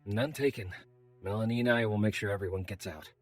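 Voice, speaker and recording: A man playing a brash, wry warrior in an audio drama, with a husky voice and a casual, blunt way of talking. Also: lowish voice, fairly quick, faint music behind, natural voice. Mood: low-key, teasing. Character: brash, wry, tough male adventurer, towering warrior.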